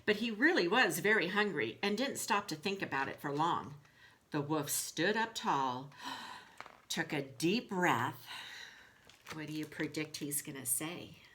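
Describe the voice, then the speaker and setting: A woman with a bright, fairly low voice reads a children's storybook aloud as a school librarian. Her manner is reassuring and explanatory, pitched at young pupils. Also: animated, unhurried, a little hiss or hum.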